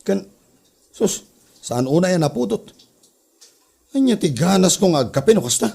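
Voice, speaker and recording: A man's voice: high-pitched, sing-song, a little slow, vocal fry, mellow, breathy edge, heavily acted. A man playing a lazy, demanding office boss who grumbles and orders people around in a comedy audio drama. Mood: grumbling. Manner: grumbling, bossy.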